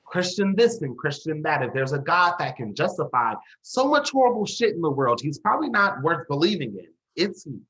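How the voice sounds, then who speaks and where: Man playing a bitter, sarcastic young man, animated pitch. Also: moderate pace, close to an everyday voice, low-quality recording. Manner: sarcastic, confrontational. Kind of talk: dramatic dialogue acting.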